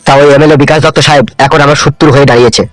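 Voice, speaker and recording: A man's voice: fairly high pitch, steady tone, rapid-fire, close to an everyday voice. A man voicing an aggrieved, timid young cartoon henchman, slangy. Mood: earnest. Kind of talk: comedy cartoon voice-over. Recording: music under the voice.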